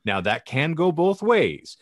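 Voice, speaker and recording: A man's voice: a little high, sing-song, a little slow, no echo, clean studio sound. A man hosting a podcast panel discussion, posing hypotheticals in elaborate, mock-formal phrasing. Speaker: podcast host.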